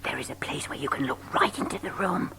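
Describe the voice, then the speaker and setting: An actress playing a timid, secretive little guide in an audio drama, with an anxious, furtive way of speaking. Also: female voice, very deep voice, a little fast, gravelly, bright, forceful tone, in a whisper, heavily acted.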